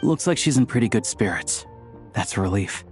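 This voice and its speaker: A man playing a calm, shrewd, thoughtful ally in a video game, speaking in a measured, pondering way. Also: lowish voice, faint music behind, lightly acted.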